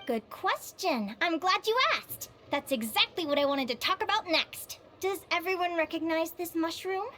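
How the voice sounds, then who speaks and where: High, lilting, perky young lecturer. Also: female voice, lively intonation, moderate pace, bright, forceful tone, a little hiss or hum, heavily acted. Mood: perky, teacherly. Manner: perky, teacherly, playful quizzing. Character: cheerful young female adventuring advisor giving a lecture. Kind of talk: animated game voice acting, lecture.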